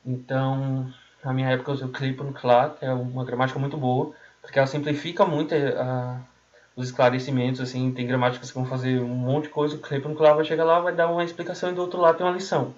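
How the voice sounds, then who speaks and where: A man's voice: mid-range voice, level tone, quick pace. Male tutor, Brazilian accent, mid-range voice, colloquial and explanatory, circles back to rephrase; dull lo-fi recording. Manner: colloquial, repeats and rephrases himself. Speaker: language tutor giving learning tips. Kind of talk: informal explanatory talk. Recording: dull, muffled lo-fi audio.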